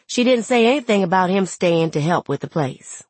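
A woman's voice: fairly low pitch, lively intonation, moderate pace, darkish tone, slightly rough, no room sound. A woman playing a plainspoken, wry, resentful young woman, telling her story in conversational dialect for an audiobook. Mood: wry, aggrieved. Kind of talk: first-person audiobook narration in character.

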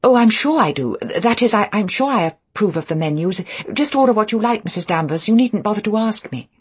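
A woman's voice: deep voice, very animated, brisk, darkish tone, breathy edge, exaggerated voice. A woman voicing a timid, flustered young wife who is newly mistress of a house, with a stammering, hesitant, apologetic manner. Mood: anxious, eager to please.

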